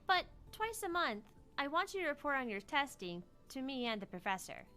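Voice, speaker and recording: A woman's voice: sits high, big pitch swings, moderate pace, sharp tone, pitched above the performer's own voice, full character voice. A woman voicing an earnest young female research scientist in an anime game read-aloud, explaining things in a businesslike way. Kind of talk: visual novel character read-aloud.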